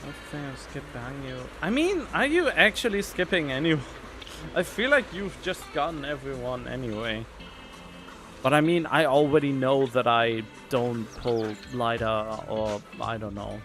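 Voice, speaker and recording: A man's voice: medium pitch, expressive, a little slow, music in the background. A man streaming a game with casual, rambling commentary, thinking aloud as he chats. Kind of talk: casual chatty livestream commentary.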